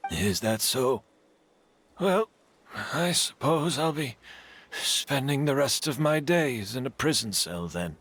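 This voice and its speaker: An actor playing a proud, unrepentant master perfumer in defeat, measured and wistful, in a dramatic video game scene. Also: male voice, mid-range voice, expressive, a bit bright, a little hiss or hum. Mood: proud resignation.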